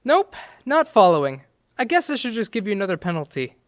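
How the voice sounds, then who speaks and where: A woman's voice: mid-range voice, big pitch swings, a little fast, lightly acted. A woman voicing a flippant cheetah judge. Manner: theatrical and flippant. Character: excitable male cheetah judge. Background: background music.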